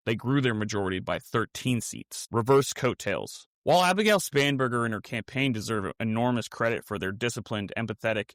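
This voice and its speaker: An American man with a fairly low voice reads a prepared political commentary. His delivery is earnest and measured, landing on short, punchy phrases. The sound is dry and close, with little room around it. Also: a bit bright, dry recording. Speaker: host of a political commentary podcast. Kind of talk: scripted political commentary read aloud.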